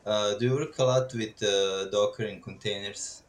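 A man hosting a casual podcast, asking questions and making dry, deadpan jokes. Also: fairly low pitch, moderate pace. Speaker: podcast host.

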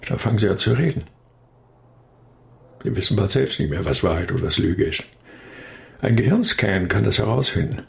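Low, creaky, guarded man of science. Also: lively intonation, normal speed, long pauses. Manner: hesitant, evasive, clipped phrases. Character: guarded male scientist confessing uneasily to dark secrets. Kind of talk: radio drama acting.

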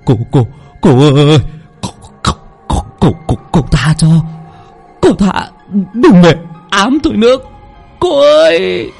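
A man's voice: sits low, expressive, normal speed, long pauses, mellow, husky, faint music behind, pitched below the performer's own voice. A man voicing a cowardly, terrified young man who stammers and begs. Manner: stammering, pleading.

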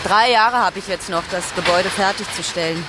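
A woman with a medium-pitched voice explains things plainly and colloquially. She is recorded outdoors, with clear vehicle and city noise around her. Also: brisk. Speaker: building project professional. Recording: noisy outdoor recording with traffic.

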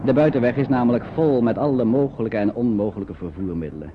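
An actor as a chatty, sympathetic narrator telling a radio play story in colloquial Dutch style. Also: male voice, medium pitch, quick pace, close to an everyday voice. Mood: vivid, sympathetic. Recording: dull, noisy old radio recording.

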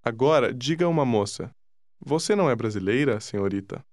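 Calm male language-course narrator. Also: mid-range voice, no echo, studio quality. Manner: calm, deliberate prompting. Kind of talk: scripted instruction.

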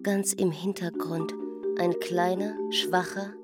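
A woman's voice: lowish voice, steady tone, takes it slowly. An actress playing a pensive village woman in a German radio drama, recalling things with deliberation. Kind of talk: German radio play acting. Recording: mallet and singing-bowl music under voice. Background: ringing mallet and bowl music.

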